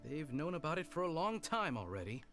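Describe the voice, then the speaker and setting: Young retainer, earnest, restrained wording, animated pitch, anime game, faint music. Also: male voice, fairly quick. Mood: matter-of-fact. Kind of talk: anime video game voice acting. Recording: music behind the voice.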